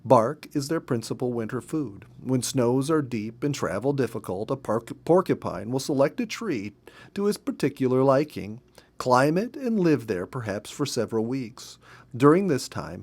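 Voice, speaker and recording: A man reads a story aloud in an expressive, measured, emphatic way. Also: mid-range voice. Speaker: storybook reader. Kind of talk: read-aloud storytelling from a nature memoir.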